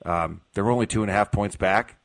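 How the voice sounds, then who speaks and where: Low-voiced American sports radio host. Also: male voice, brisk. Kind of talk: casual sports talk radio.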